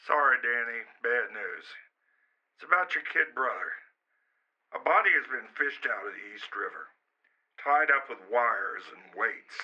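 A man playing a gruff, brisk 1930s police captain in a pulp crime radio play, with a husky voice. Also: mid-range voice, expressive, pushed and bright, lo-fi sound, heavily acted. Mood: grave.

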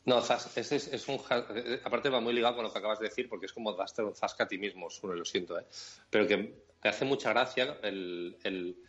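A man's voice: on the low side, a little fast, fairly bright tone. A man from Catalonia chatting casually on a podcast, joking and sarcastic as he tells stories. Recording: dull lo-fi sound.

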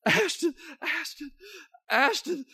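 Big rough miner, frantic and loud, pleading for help, voiced by a storyteller. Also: male voice, on the high side, huge pitch swings, slow pace, voice pushed up, heavily acted. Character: burly male miner, frantic husband.

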